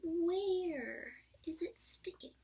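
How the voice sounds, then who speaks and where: A woman's voice: very high voice, animated, drawn-out words, warm tone, slight background noise, voice pushed up, heavily acted. Fussy bossy rabbit, urgent; woman voices him.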